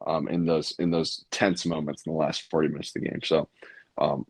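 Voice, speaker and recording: Low, raspy young man hosting. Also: flat delivery, fairly quick, no room sound. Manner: rambling, lots of fillers and restarts. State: tired. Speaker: podcast host. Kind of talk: casual sports chat.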